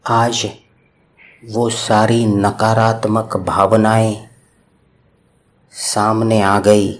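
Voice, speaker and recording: Very slow, calm male meditation guide. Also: fairly low pitch. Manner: slow, calm, soothing instructions with long pauses.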